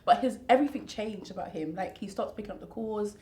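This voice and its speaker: A woman's voice: mid-range voice, quick pace, darkish tone, roomy sound. A British woman answering interview questions in a blunt, emphatic way. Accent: British English. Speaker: guest. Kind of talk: casual interview chat.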